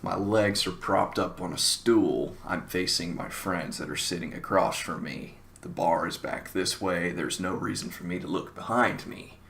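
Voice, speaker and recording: A man's voice: low voice, vocal fry, sharp tone, slight background noise. A man with a Southern US accent telling a casual comic anecdote in a wry, self-mocking, wordy way. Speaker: storyteller, show host.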